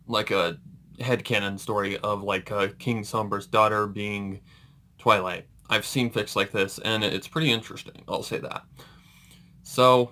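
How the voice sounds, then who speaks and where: A man's voice: on the low side, pushed and bright. A man hosting a reaction video, giving casual commentary in an informal, hesitant, rambling way.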